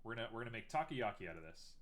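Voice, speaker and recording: A man's voice: on the low side, very animated, racing, fairly bright tone. A young man streaming live while making art, chatting casually and teasing himself as he works. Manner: chatty, self-mocking, thinks aloud while working.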